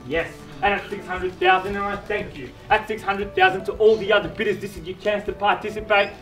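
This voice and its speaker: An Australian man in his thirties runs a live property auction, pushing bids along with punchy repetition. His voice sits high for a man. It sounds distant and echoey, with music playing underneath. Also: fairly even intonation, a bit bright. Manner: fast persuasive bid calling, punchy repetition. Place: outdoor driveway of a house. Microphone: far camera.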